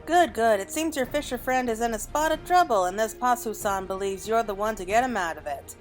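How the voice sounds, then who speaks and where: A woman voicing a brisk, courteous quest giver from a fantasy game, formal and wordy with a teasing touch. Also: on the high side, expressive, lightly acted. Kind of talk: acted reading of fantasy game dialogue. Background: game music.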